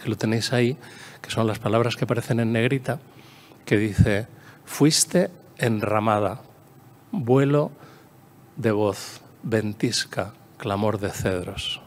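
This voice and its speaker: A man's voice: lowish voice, takes it slowly, long pauses, slight background noise. A man from La Mancha with a Castilian Spanish accent giving a discursive literary lecture, repeating phrases and adding storytelling asides and little tag questions. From La Mancha, Spain. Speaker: conference speaker, poet.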